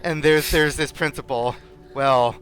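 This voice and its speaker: A man on a discussion panel chatting casually in a halting way, often repeating and breaking off words. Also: a little high, steady tone, a bit bright. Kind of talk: casual chat. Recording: music playing behind the voice.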